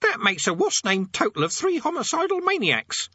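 Shrill, squawking, croaky parrot. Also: male voice, huge pitch swings, moderate pace, pushed and bright, no echo, lo-fi sound, heavily acted. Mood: indignant. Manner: impatient, brash, exclamatory. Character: shrill, bossy, indignant talking parrot.

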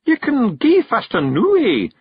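A bumbling, bearded Scottish stranger from a storybook, pitched high with a rough growl. He blusters and bosses, stumbling over his words and correcting himself. The delivery swoops about, and the audio is slightly dull. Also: wildly swooping pitch, no echo, heavily acted. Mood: impatient. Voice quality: growly, snarling. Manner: blustering, halting, self-correcting, bossy. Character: bearded bumbling bossy stranger, tiny folk disguised as one man.

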